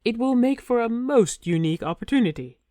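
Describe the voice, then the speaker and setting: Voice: medium pitch, lively intonation, brisk, warm tone, no room sound, clean studio sound, voice pushed up, close to an everyday voice. A storyteller voicing a young male hero posing as a king, speaking firmly and a little stiffly. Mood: persuasive. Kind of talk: storybook reading.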